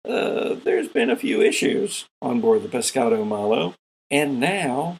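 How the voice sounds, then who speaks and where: A man hosting and narrating a tabletop role-playing game as game master, with theatrical, deliberate pauses. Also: mid-range voice, wildly swooping pitch, vocal fry, breathy edge, rough recording.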